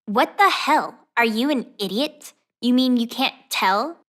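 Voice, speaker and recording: A woman's voice: on the high side, lively intonation, a little slow, a bit bright. A woman voicing a brash, defensive, impatient young woman in an audio drama, blunt and emphatic. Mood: scornful, exasperated.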